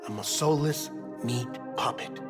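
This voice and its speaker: A man's voice: medium pitch, measured pace, lightly acted. An actor as an earnest, morose man, music behind. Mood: somber confession. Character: earnest, morose man grown artificially from stem cells. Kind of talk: video game cutscene acting. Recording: background music under the voice.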